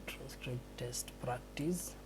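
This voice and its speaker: A man giving a screen-recorded tutorial on a transcription test, explaining slowly and hesitantly with many restarts. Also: medium pitch, heavy background noise.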